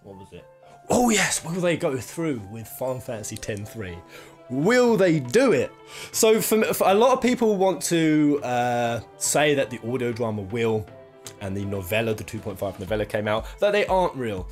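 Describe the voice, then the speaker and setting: A male commentator talks in a casual, opinionated way, with a medium-pitched voice that moves up and down a lot. He rambles and leans hard on points, swearing mildly. Instrumental music plays behind him. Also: very animated, normal speed. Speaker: video host, game commentator.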